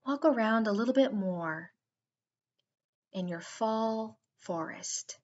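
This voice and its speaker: Slow-paced woman guiding a kids' meditation. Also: fairly high pitch, animated, lo-fi sound. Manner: soothing, unhurried, with drawn-out phrasing. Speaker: guided meditation host for children. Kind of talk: slow guided meditation narration for kids.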